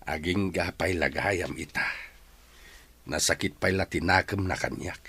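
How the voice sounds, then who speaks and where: A man's voice: sits low, measured pace, creaky, bright, forceful tone, breathy edge, heavily acted. An actor playing a poor, regretful middle-aged father in an Ilocano audio drama, pleading earnestly with his son. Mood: apologetic, pained.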